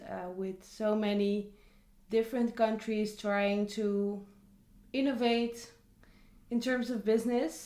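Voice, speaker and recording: A woman's voice: mid-range voice, a little mellow. A young woman, chatting casually on camera about a book she read and adding many asides. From Netherlands. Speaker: vlogger. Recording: echoey room.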